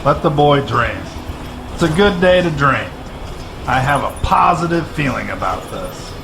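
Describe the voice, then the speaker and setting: A man's voice: medium pitch, lively intonation, unhurried, creaky, background noise. An actor playing a plain-spoken, protective father in an audio drama. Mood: easygoing. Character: easygoing but fiercely protective father.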